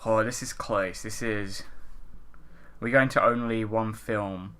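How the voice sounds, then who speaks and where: A man's voice: fairly low pitch, steady tone, slow pace, fairly bright tone, clean tone. A young man co-hosting a podcast, chatting casually and bluntly with plenty of swearing. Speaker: podcast co-host. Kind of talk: casual chat, counting down favourite films.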